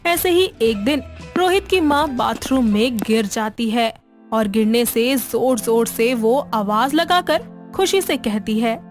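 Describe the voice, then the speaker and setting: A woman narrates a scripted story at a slow pace. Her voice is fairly high, set over faint background music.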